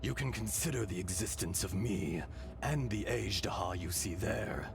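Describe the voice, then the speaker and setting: An actor playing a calm, wistful ancient dragon spirit in human form for a video game, speaking solemnly and deliberately. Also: male voice, on the low side, close to an everyday voice. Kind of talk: dramatic video game voice acting. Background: game music.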